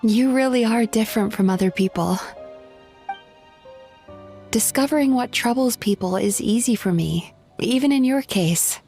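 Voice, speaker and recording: A woman voicing a calm, reassuring psychologist spirit who eats dreams, in a video game. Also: a little high, close to an everyday voice. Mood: gentle. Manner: soft, measured and reassuring. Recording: faint game music behind.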